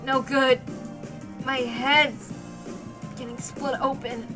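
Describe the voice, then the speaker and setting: Woman acting out an anguished amnesiac, halting and high-pitched. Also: level tone, over music, rough recording. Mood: anguished, confused. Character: troubled amnesiac Toya, anguished by splitting headache and foreign memories.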